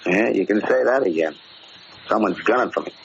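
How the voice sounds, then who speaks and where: An actor playing a cocky, tough-talking gangster in a slangy 1940s radio drama style. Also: male voice, lowish voice, animated, a little fast, creaky. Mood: cocky, easygoing. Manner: slangy, brash. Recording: muffled, hissy 1940s radio recording.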